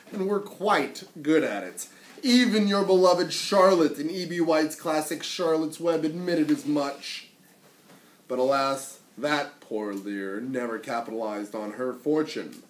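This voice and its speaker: A man voicing a sly, smug, flattering male spider in a storybook, with a theatrical, self-satisfied manner. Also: a little high. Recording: echoey, noisy room, distant mic.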